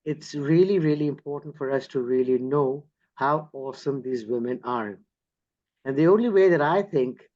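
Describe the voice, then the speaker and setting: Effusive host guy, somewhat high voice, muffled lo-fi call sound. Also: darkish tone. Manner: enthusiastic, effusive. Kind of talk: online interview conversation. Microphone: video call.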